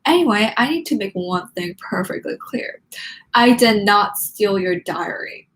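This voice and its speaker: A woman's voice: mid-range voice, lively intonation, a little slow, a bit bright, rough recording. A woman voicing a snobby, spoiled schoolgirl drama queen from a teen diary storybook, haughty, sugary-mean and dramatic. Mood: haughty, boastful.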